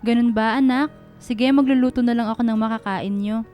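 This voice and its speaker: A woman's voice: a little high, steady tone, brisk, mellow, faint music behind, lightly acted. An actress playing a caring middle-aged mother in a radio drama. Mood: motherly, calm.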